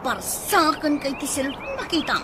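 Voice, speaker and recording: A woman with an Ilocano accent playing a bossy, nagging wife with a sharp tongue in a radio comedy drama. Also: high voice, animated, normal speed. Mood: scornful. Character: bossy, scolding wife stirring up an inheritance feud. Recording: noisy ambience with chirping birds.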